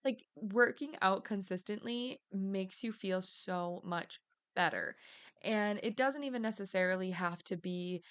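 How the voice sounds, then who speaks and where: A woman in her thirties hosting her podcast in a chatty, upbeat way, her voice raspy because she has partly lost it. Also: medium pitch, measured pace. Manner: upbeat and chatty, with fillers and restarts; energetic scripted intro. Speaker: podcast host. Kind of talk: casual solo podcast talk.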